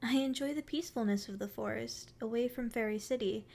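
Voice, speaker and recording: Casting: female performer as a sweet, playful forest fairy for ASMR roleplay, very high voice with a creaky edge, teasing and curious. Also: fairly quick, darkish tone. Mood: wistful. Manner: sweet, flirty, teasing endearments. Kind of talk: ASMR roleplay, addressing the listener.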